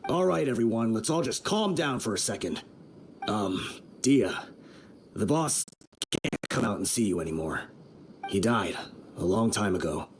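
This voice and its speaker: A man acting a weary, measured desert brigand who explains things gravely in a video game. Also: lowish voice, lively intonation, a little hiss or hum. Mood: calming, grave.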